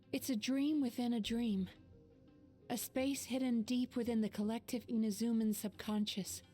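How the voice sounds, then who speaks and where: A woman playing a calm, wise young dream healer in a video game, explaining things in a measured, composed way. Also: on the high side, lightly acted. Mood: grave.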